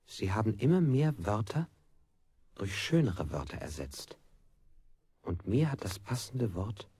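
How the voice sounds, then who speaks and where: Husky, low-voiced evasive interrogated man. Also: sing-song, dark tone, full character voice. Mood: brooding, measured. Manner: deliberate, evasive, echoing questions. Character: overpowered man under brutal interrogation, evasive, wordplaying. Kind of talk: avant-garde 1960s German radio play.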